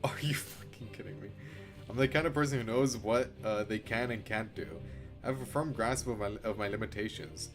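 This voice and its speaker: A man's voice: on the low side, brisk, music in the background. A man streaming a game, reading its story aloud theatrically and reacting casually, with frequent swearing. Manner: theatrical, expressive, swears freely. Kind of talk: dramatic read-aloud mixed with casual live reactions.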